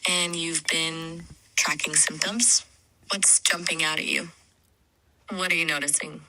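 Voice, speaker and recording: A woman's voice in an AI chat, calmly summarizing what she hears and gently asking follow-up questions. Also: low voice, a little slow, slight background noise, poor audio. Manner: calm, summarizing, gently probing. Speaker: AI chat partner. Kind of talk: conversational questioning.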